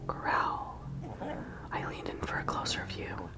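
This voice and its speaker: A woman narrating a children's story in a breathy ASMR whisper, expressively acting out the dialogue. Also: slow pace. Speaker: ASMR host reading aloud.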